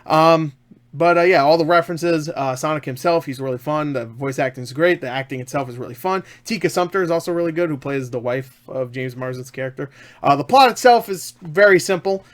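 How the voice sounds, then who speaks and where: Guy with a slightly high voice doing an off the cuff movie review, excited, rambly, leans hard on words. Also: brisk. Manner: enthusiastic, emphatic, rambling, repeats himself. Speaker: film reviewer. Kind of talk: casual, unscripted review chat.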